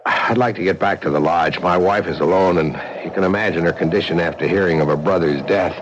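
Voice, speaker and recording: An actor playing a stubborn, irritable lodge owner in a radio drama, gruff and sarcastic. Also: male voice, sits low, brisk, close to an everyday voice. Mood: sour, worried. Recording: muffled old radio recording, faint noise.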